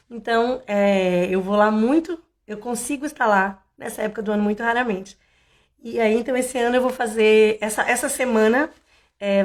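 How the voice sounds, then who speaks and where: A woman from Salvador, hoarse, hosting a casual tarot live stream in a chatty, meandering way with many fillers and restarts. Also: mid-range voice. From Salvador, Bahia, Brazil.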